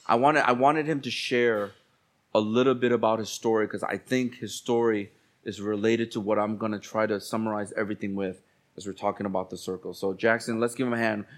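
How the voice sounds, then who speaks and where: A man's voice: fairly low pitch, steady tone, brisk. A man preaching as a pastor in colloquial, exhorting language, repeating phrases for emphasis. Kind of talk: sermon.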